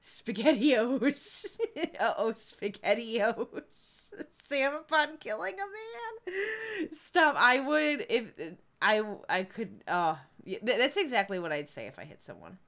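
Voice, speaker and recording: A woman's voice: on the high side, very animated, takes it slowly, fairly bright tone. A young woman from Chicago with a Midwestern accent, chatting casually while streaming a game, rambling with fillers and giggles. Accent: Midwestern American. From Chicago area. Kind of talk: casual live game commentary.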